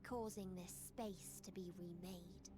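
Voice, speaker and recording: An actress playing a cold, sorrowful otherworldly female being in a video game, speaking in a solemn, measured, detached way. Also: lowish voice, steady tone, background noise. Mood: cold, grave. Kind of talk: dramatic scripted game voice acting. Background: loud music.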